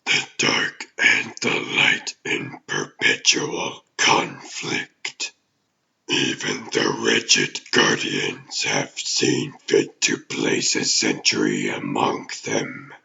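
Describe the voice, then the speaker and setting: An ancient demon overlord from a comic dub, extremely low and heavily gravelled. He speaks with grand, formal menace, very slowly and deliberately, as an imperious ruler would. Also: level tone, pushed and bright, lo-fi sound, heavily acted. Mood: brooding, disdainful. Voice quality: gravelly, growling. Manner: pompous, deliberate, formal archaic phrasing. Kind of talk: theatrical villain acting in a comic dub.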